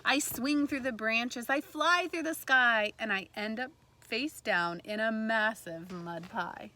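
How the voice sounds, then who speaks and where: A woman teacher reading a storybook aloud to kindergartners in an animated way, pausing to put questions to her listeners. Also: on the high side. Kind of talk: read-aloud lesson for young children. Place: outdoors in a backyard tree fort among trees. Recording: faint outdoor ambient noise.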